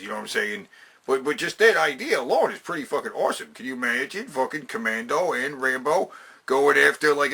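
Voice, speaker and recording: A man with a very sharp, forceful voice and a gravelly rasp gives casual countdown commentary. He is emphatic and opinionated, swears heavily and often circles back to repeat his point. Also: medium pitch. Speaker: video host counting down a top ten list.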